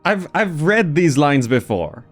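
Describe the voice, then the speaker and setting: Halting, casual male gaming host. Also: fairly high pitch, big pitch swings, soft background music. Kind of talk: casual, off-the-cuff game commentary.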